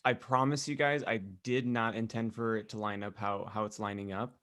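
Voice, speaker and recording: A man's voice: on the low side, level tone, brisk, fairly bright tone. A man co-hosting a casual podcast, talking in a chatty, rambling way. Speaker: podcast co-host.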